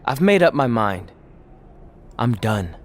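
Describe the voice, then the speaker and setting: An actor in a video game cutscene playing a cheerful, goofy retired wrestler who now hosts TV, with an excitable, playful manner. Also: male voice, mid-range voice, takes it slowly. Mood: resolute.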